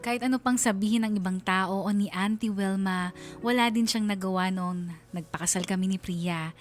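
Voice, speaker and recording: Woman narrating over music. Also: mid-range voice. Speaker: radio drama narrator. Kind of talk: dramatized scripted narration. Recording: music under the voice.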